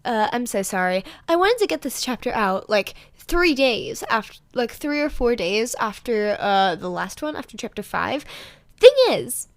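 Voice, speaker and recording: A woman's voice: a little high, lively intonation, normal speed, no room sound. A woman host talking casually and informally to her listeners. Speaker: podfic host.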